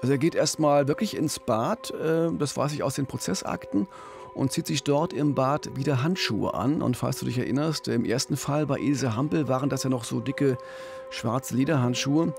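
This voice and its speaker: A man's voice: medium pitch, moderate pace, vocal fry, a little mellow. A middle-aged man co-hosting a true-crime podcast, telling the story conversationally into a studio microphone. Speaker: podcast co-host. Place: radio studio. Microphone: studio microphone on boom arm with pop filter. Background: background music.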